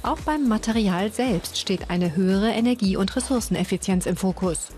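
Lady doing a calm news voiceover, soft music bed behind. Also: mid-range voice. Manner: even, measured reading. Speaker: news narrator. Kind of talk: scripted voice-over narration. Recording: voice-over with faint music behind.

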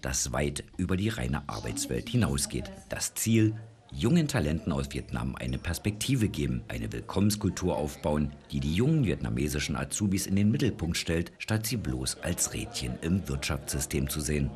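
A man's voice: a bass voice, big pitch swings, normal speed, a little mellow. A man narrating a scripted regional news report as the reporter.

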